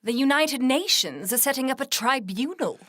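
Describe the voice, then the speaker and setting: A woman's voice: high voice, animated, fairly bright tone, no room sound. A woman playing a composed, formal 1960s radio news anchor in a scripted drama. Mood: conversational. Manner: measured, formal newsreading.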